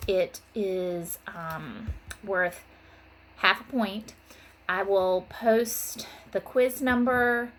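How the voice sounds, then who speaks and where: Woman teacher, noisy recording. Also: fairly low pitch. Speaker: third grade teacher. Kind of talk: informal recorded lesson and children's story read-aloud.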